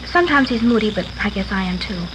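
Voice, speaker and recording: An actress playing a guarded, earnest high school girl, speaking hesitantly in a 1950s radio drama. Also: lively intonation, fast, lightly acted. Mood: guarded, casual. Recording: noisy old radio recording.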